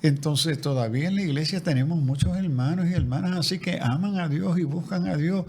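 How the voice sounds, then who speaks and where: A man's voice: a little high, fairly quick, darkish tone, husky. A man speaking as a guest theologian in a conversational interview, reflective, often restarting and repeating words. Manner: reflective, with frequent restarts and repetitions.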